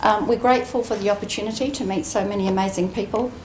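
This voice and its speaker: A woman's voice: mid-range voice, fairly even intonation, quick pace, darkish tone. New woman speechmaking in an echoey room. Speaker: aviation training executive giving a speech. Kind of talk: prepared graduation ceremony speech. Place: indoor ceremony room. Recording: roomy echoing venue, faint background noise.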